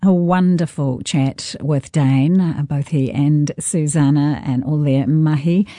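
A woman's voice: sits low, takes it slowly, no echo. A woman with a New Zealand accent hosting a radio show, chatting and reading listener messages. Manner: animated, reads listener texts aloud. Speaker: radio host. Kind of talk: warm conversational radio hosting.

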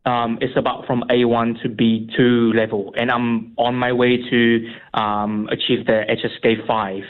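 A man's voice: mid-range voice, level tone. Young man on a tinny phone line. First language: Vietnamese. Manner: halting, many fillers and restarts. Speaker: guest. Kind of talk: radio interview.

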